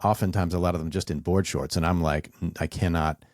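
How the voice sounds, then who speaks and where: A man's voice: deep voice, a little fast, no room sound, studio quality. A man in his forties hosting a podcast, chatting casually and rambling through stories with plenty of fillers. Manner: relaxed, rambling storyteller with many fillers. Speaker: podcast host. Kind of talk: casual interview chat.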